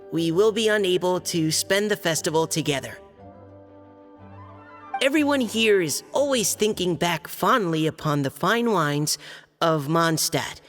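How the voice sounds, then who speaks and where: A dutiful young knight reads a long letter aloud in a slow, deliberate way. His pitch sits in a middle range, and the hall gives a long reverb under faint music. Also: a bit bright. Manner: careful, formal reading, halting when flustered. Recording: game cutscene with reverb and music.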